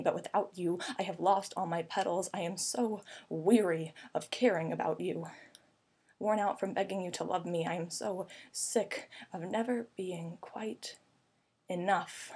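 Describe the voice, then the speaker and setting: A young woman delivers slam poetry in a lowish, slightly husky voice. She phrases each image deliberately, with an earnest and intimate delivery. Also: darkish tone. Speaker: slam poet.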